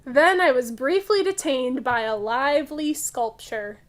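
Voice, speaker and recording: A woman voicing an excitable child storyteller from a picture book, with animated, emphatic delivery. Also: sits high, a little slow. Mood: excited.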